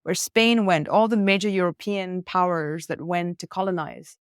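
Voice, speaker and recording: A woman from India talks as a podcast guest in a reflective, emphatic way. She often runs points together in lists. Her voice sits fairly low, and it is recorded close and dry, with no room sound. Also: moderate pace, clear, resonant tone, dry recording. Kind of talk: conversational interview. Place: hostel room.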